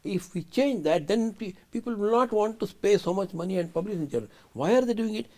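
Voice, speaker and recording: A man's voice: high-pitched, expressive, a little fast, a little mellow. An older man, a guest scientist in a studio interview, arguing emphatically and asking rhetorical questions.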